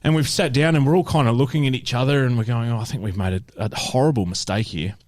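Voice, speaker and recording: A man's voice: mid-range voice, fast talker, darkish tone, no room sound, studio quality. A man with an Australian accent telling stories in casual podcast banter, laid-back and prone to chuckling as he goes. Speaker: podcast co-host.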